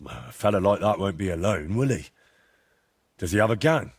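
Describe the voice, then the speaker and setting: A husky young man, a loyal medieval game hero with a blunt, sardonic way of questioning. His pitch moves a lot, and the recording is dry and close. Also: animated, normal speed, no room sound. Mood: resolute. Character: blunt, loyal young medieval adventurer, exasperated but principled. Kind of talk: video game dialogue acting.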